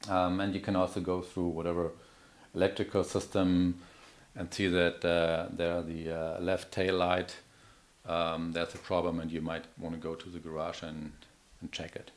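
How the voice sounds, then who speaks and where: Low-pitched man giving a product demo. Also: completely flat delivery, moderate pace. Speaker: product demonstrator, account manager. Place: indoor office or showroom.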